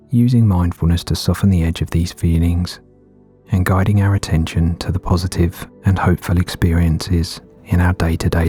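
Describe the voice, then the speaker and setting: A man gently guiding a meditation in a soothing, unhurried way with long pauses between phrases. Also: deep voice. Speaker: meditation guide. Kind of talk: guided meditation narration. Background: background music.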